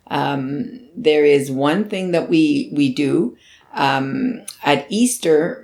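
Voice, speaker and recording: An older woman from Canada sharing personal memories in an unscripted reflection, thoughtful and full of small pauses and restarts. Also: low voice, gravelly, darkish tone. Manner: slow, thoughtful, with frequent ums and restarts.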